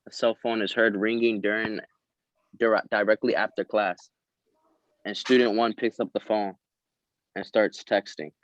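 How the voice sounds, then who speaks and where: A man's voice: on the low side, steady tone, measured pace, long pauses. A man at a table read over a video call, reading script lines and coaching others between them. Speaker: script reader and prompter. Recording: dry, slightly dull call audio.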